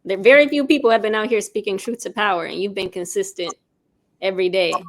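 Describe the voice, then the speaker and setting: A woman's voice: medium pitch, fast. A woman, a guest on a show, giving casual promotional talk. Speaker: audio drama creator, show guest.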